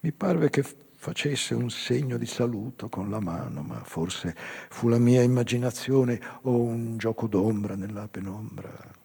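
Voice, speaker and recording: An older male actor playing a weary, melancholy old man confessing a past crime in a halting, raspy theatrical monologue. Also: medium pitch, dark tone, full character voice. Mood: mournful. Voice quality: raspy, husky, breathy. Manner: halting, slow, dramatic pauses. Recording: live music behind the voice.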